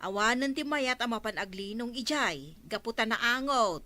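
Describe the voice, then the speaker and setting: A woman's voice: fairly high pitch, expressive, normal speed, sharp tone, dry recording. An actress with an Ilocano accent playing a concerned, tattling village woman in a radio comedy drama. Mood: reporting.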